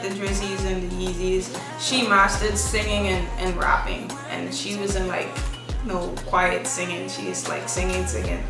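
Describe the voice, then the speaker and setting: A young woman with a gentle lilt talks easily about her music and style, drifting loosely from thought to thought. Her voice sits at a middle pitch. She is caught from some distance in an echoing room, with music clearly audible underneath. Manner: soft-spoken, relaxed, loose rambling phrasing. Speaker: musician guest. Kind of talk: casual interview answers.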